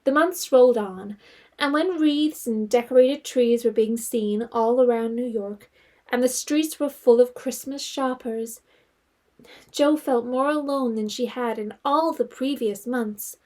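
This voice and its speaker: An actress narrating a period novel as a composed storyteller in scripted audio drama style. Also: female voice, on the high side, unhurried, warm tone, close to an everyday voice.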